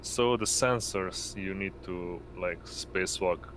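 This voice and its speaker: A man narrating as game master in a tabletop game, halting and pausing mid-sentence. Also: sits low. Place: indoors, online voice call. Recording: noisy home mic, steady hum.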